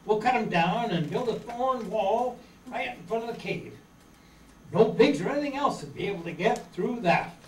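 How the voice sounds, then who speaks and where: A high-pitched, practical leader of young castaways, acted out by a man in an old-time radio adventure. He takes charge as he reads from a script. The sound is roomy and distant, with faint noise. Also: expressive, normal speed, a little mellow. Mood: decisive. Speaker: amateur actor in a live radio-play reading. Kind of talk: 1930s children's radio adventure acting, read from script. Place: meeting room stage before seated audience. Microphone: far camera.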